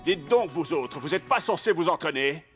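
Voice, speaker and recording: Man as a firm coach, high-pitched voice. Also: animated, moderate pace, loud music behind the voice, close to an everyday voice. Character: firm, composed male football coach. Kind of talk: dubbed cartoon acting.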